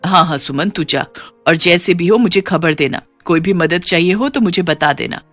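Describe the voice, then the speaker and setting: A considerate lady of the house, played by a woman in an animated moral tale. She talks in a kindly, informal way while giving instructions. Music runs softly behind her. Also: on the low side, normal speed. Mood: caring. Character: kind, considerate middle-class lady employer of a maid.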